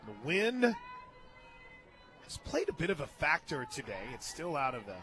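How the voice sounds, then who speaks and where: An American man doing live radio play-by-play of softball, chatting and joking between pitch calls from a ballpark booth. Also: sing-song, normal speed, faint music behind, some chatter behind. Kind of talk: live softball commentary with casual banter.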